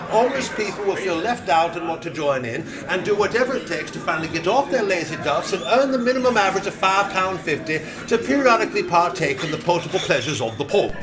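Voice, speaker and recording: Man putting on a high-pitched, smug Mancunian prime minister. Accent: Northern English, Mancunian. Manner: pompous, alliterative, self-congratulatory. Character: smug, glib, self-regarding Northern English prime minister. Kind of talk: satirical political speech monologue.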